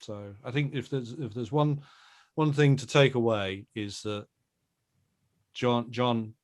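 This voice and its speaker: A man's voice: medium pitch, takes it slowly. A middle-aged man giving a reflective, earnest and emphatic interview answer as a producer. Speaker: audio drama producer and director, interview guest.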